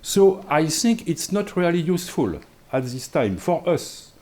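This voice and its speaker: A man's voice: fairly high pitch, very animated, measured pace, darkish tone. A man with a French accent, a native French speaker, giving an academic lecture on law in careful, hesitant English full of fillers and restarts. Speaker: invited law professor speaker.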